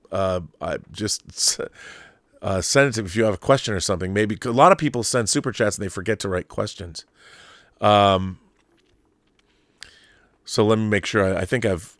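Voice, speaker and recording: A middle-aged man with a fairly low voice chats as the host of a livestream. He speaks in an emphatic, conversational way, doubling back and restarting phrases as he works out his thoughts. The sound is dry, with little room in it. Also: fairly bright tone, dry recording. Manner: halting, repeats words and rephrases as he thinks aloud. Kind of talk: casual live chat answering viewer messages.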